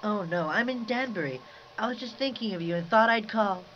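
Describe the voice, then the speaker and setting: A man's voice: sits high, brisk, a bit bright. A man playing a chatty, breezy housewife friend with a gossipy manner, in 1950s radio drama style. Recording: dull lo-fi radio recording, faint hiss.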